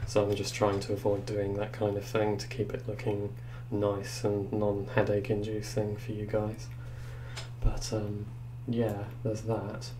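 A young man with a deep, low voice talks through a screen recording, thinking aloud with ums. His delivery is flat and unhurried. A steady hum and noise sit under the recording. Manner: hesitant, thinking aloud with fillers. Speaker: tech reviewer. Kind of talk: casual screen-recorded software review. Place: indoors at a computer. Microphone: desktop microphone.

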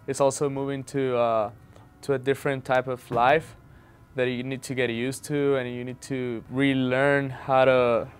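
A man's voice: medium pitch, clean tone. A young man from Venezuela, a wheelchair basketball player, speaking earnestly about his injury and recovery. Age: young adult.

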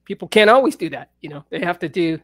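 A man's voice: high-pitched, expressive, quick pace, a bit bright, no echo. A middle-aged man hosting a casual live-stream chat from his home studio, talking in a laid-back, rambling way with frequent laughs. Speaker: host. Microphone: studio mic on a stand.

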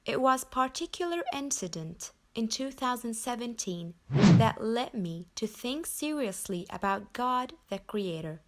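A young woman from Nepal reading her personal story aloud in an earnest, measured way. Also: a little high, sing-song. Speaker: convert telling her story. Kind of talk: scripted personal narration.

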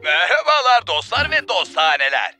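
An actor playing a breezy, carefree footballer on holiday, giving a voicemail greeting in a cartoon dub. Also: male voice, sits high, big pitch swings, normal speed, bright, forceful tone, faint music behind, full character voice.

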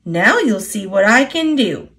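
A boastful young female steam engine from a storybook read by an American woman. She is eager and impatient, her pitch bouncing around in lively swings. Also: unhurried, creaky, heavily acted.

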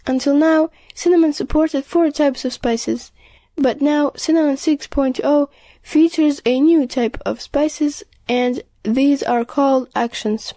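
A woman reading scripted narration for a tech news video in a measured, explanatory way. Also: high voice, mellow, dry recording.

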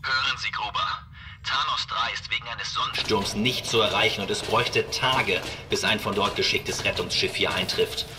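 A man playing a calm, resolute spaceship captain with dry humour in a sci-fi audio drama. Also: lowish voice, moderate pace, recorded from a distance, noisy background, lightly acted. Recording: clearly audible music behind.

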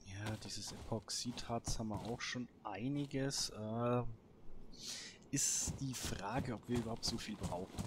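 Male let's player, medium pitch, casual thinking-aloud commentary, noticeably noisy recording. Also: measured pace. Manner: colloquial, musing to himself. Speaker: gamer, let's play host.

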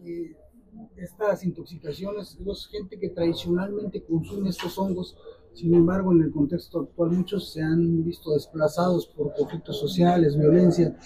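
A man's voice: high voice, little pitch movement, moderate pace, mellow, low-quality recording. A man posing questions as a reporter in an interview.

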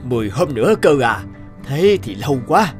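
A man's voice: mid-range voice, sing-song, normal speed, faint music behind, lightly acted. A man playing a kindly, worried older man in a cartoon, earnest and a little hesitant as he seeks help. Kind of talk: animated cartoon dialogue.

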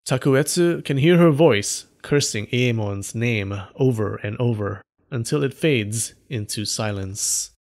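A man's voice: mid-range voice, darkish tone. A man narrating scripted stories as a host, in an informal, wry and dramatic way with casual asides. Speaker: storyteller and host of an animated folktale channel.

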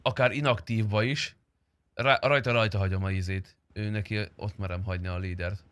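A man's voice: on the low side, steady tone, a bit bright, dry recording. A man chatting casually and crudely with friends while streaming a roleplay game. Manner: crude, bantering.

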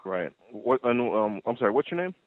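A man's voice: mid-range voice, sing-song, moderate pace, no room sound. A male customer support agent speaking on a phone call in an apologetic, accommodating way. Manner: apologetic, accommodating, hesitant phrasing. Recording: muffled narrowband phone line.

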